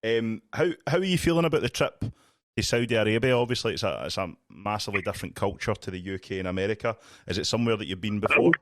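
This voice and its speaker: A man with a Scottish accent co-hosting a podcast in a small studio, asking friendly questions at a deliberate pace. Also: fairly low pitch, no room sound. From Scotland. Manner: friendly, speaks slowly on purpose. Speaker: podcast co-host. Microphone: studio mic with headphones.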